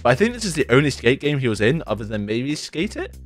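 A man in his twenties, chatting casually over gameplay in his bedroom, his voice a little deeper and rough after a sore throat. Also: very animated, fast talker. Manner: chatty, rambling, says "like" and "dude" a lot. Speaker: gaming YouTuber. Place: bedroom at home, indoors.

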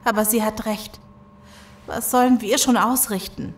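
A defiant, high-voiced captive. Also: female voice, fairly even intonation, measured pace, slight background noise. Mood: tense. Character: defiant, resolute woman held captive. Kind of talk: German audio drama acting.

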